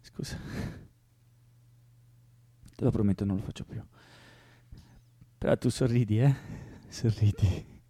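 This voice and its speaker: A man's voice: on the low side, takes it slowly, pauses often, dark tone, breathy edge, dry recording. An actor playing an anguished young leftist man in a naturalistic radio drama, pleading and playful, repeating himself and drifting into whispers. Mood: pleading, fragile. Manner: volatile, repetitive, drifting into whispers.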